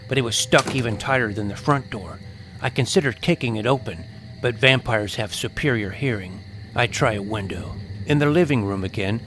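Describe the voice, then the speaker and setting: A man's voice: mid-range voice, noisy background. A man giving a dramatic, scripted first-person narration of a suspense adventure story.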